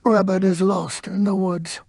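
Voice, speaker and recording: A woman voicing a gentle, simple-minded male bear in a children's storybook, earnest and musing. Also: medium pitch, little pitch movement, a little slow, dry recording, studio quality, voice pushed up. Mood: concerned.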